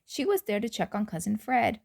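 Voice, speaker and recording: Storyteller mom reading to kids; lively, slightly gravelly read-aloud voice. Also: female voice, mid-range voice, a little fast. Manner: warm, chatty asides to the children.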